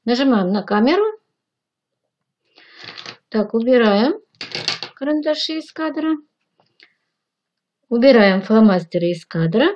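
A woman, a teacher giving step-by-step instructions in deliberate, short, simple phrases. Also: on the high side, animated, dull audio. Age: 60s. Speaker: children's art and animation teacher. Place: indoor room, plain wall.

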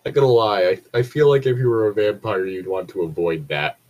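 A man with a low voice reads aloud informally as part of an online group. He breaks off for joking, sardonic remarks and swears freely. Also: fairly quick, a little mellow, muffled recording. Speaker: co-host reader. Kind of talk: casual group read-aloud of fan fiction with joking commentary. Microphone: own mic on an online voice chat.

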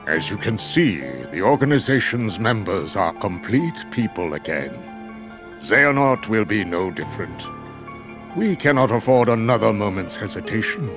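Deep, solemn old wizard. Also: male voice, big pitch swings, music in the background. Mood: grave, resolute. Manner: solemn, formal, deliberate. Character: wise old male wizard, grave, measured, authoritative. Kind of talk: scripted video game cutscene acting.

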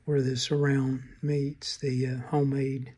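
An older man giving a casual, matter-of-fact, halting narration of hands-on repair work at a workbench. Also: mid-range voice, completely flat delivery, warm tone, slight background noise. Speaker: vintage radio repair hobbyist, channel host.

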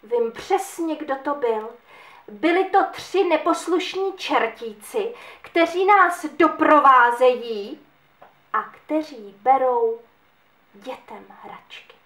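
Woman doing a hushed gentle angel. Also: high voice, lively intonation. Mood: soothing. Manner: soft, hushed and soothing. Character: gentle kind female angel. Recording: echoey room.

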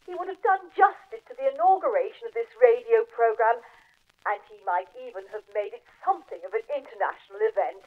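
A genteel lady writer played by a woman speaks through a thin, phone-like radio line. Her voice is fairly high and very melodic, with measured, formal 1940s phrasing. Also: lively intonation. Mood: fond, formal. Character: genteel English female mystery author, fondly formal. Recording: tinny, phone-like transatlantic radio link.

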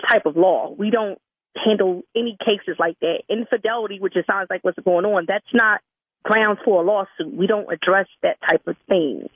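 A woman's voice: mid-range voice, normal speed, slightly rough, dry recording. A woman working the phones at a law office, arguing bluntly and with exasperation in a heated call. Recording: tinny narrowband telephone line.

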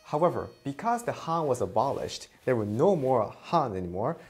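Young Japanese karate teacher explaining. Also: male voice, mid-range voice, sing-song, moderate pace, soft background music. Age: young adult. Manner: earnest, emphatic, repeats key points. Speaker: karate instructor and channel host. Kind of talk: explanatory video lesson to camera. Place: indoor studio before a plain grey backdrop. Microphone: lapel mic.

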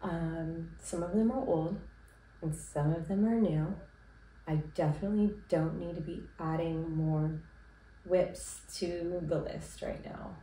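Congested woman chatting slowly about knitting. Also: fairly low pitch, slight background noise. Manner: relaxed and rambling, with frequent ums and likes. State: congested, sick with a cough. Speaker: knitting podcast host. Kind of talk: casual chat to camera. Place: small room at home with yarn shelves. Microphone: camera at a distance. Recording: roomy, distant camera sound.

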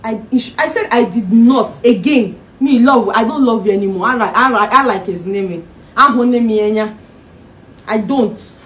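Woman acting high-pitched, fed-up Nigerian wife. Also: slow pace, far from the mic. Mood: dejected, resolute. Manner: emphatic, repetitive, exasperated. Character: stubborn, fed-up young wife determined to leave. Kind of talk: relationship drama acting with Pidgin expressions.

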